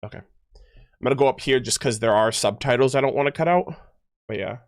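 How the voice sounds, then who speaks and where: A man's voice: mid-range voice, dry recording. Man streaming casually, dry close mic. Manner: halting, rambling, thinking aloud. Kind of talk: casual livestream commentary. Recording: music playing behind voice. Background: music.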